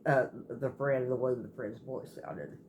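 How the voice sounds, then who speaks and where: Halting woman storyteller, noisy recording. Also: extremely low pitch, steady tone, darkish tone, muffled recording. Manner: halting, rambling, often circling back and repeating. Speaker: storytelling host. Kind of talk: casual storytelling, retelling a viewer's account.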